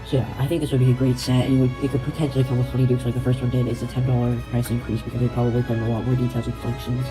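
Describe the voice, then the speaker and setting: Young man, congested nasal voice, monotone, rambling with fillers. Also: mid-range voice, rapid-fire, music in the background, low-quality recording. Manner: rambling, frequent fillers and self-corrections. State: congested, stuffy nose. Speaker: LEGO video creator. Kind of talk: casual speculation vlog.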